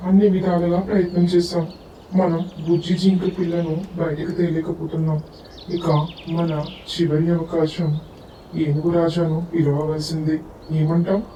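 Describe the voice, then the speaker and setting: Caring cartoon monkey, voiced by a man: a bit high, mellow and fussing, echoey and distant. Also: level tone, moderate pace, a little hiss or hum, natural voice. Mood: concerned. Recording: distant roomy echo.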